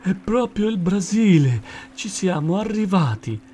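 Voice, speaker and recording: A man voicing a gentle, dreamy sloth in a rhymed children's story, with a slow drawl. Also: a little high, big pitch swings, soft background music, pitched above the performer's own voice. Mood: wondering.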